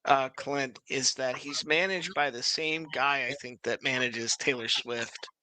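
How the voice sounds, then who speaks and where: A man's voice: mid-range voice, unhurried, pushed and bright. A man chatting casually as a livestream guest, rambling in a conversational way. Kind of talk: casual chat.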